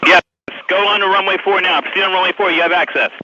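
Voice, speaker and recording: A man's voice: sits high, steady tone, fast talker, pushed and bright. A man working as an air traffic controller, speaking over two-way radio with clipped instructions. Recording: noisy, muffled air traffic radio recording.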